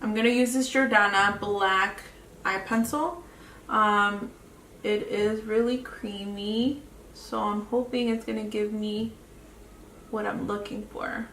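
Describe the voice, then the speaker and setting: A woman's voice: on the high side, fairly bright tone. A woman with a Mexican accent chatting casually through a makeup tutorial, often trailing off mid-sentence. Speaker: beauty vlogger. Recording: echoey room, mic at a distance, faint hiss.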